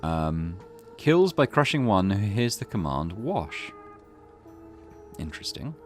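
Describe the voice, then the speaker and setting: A man's voice: low voice, big pitch swings, normal speed, faint music behind. A man hosting a let's play, mixing casual commentary with reading story text aloud.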